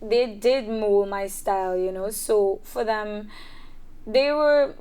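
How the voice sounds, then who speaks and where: Young woman, casual interviewee, mid-range voice, relaxed filler-heavy delivery. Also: medium pitch, slow pace, smooth. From Trinidad and Tobago. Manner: chatty, frequent "um" fillers and soft laughs. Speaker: singer-songwriter guest.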